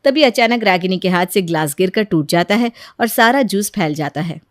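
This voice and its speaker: A woman's voice: mid-range voice, animated, moderate pace, no room sound, studio quality. A woman reading scripted narration for a moral family story.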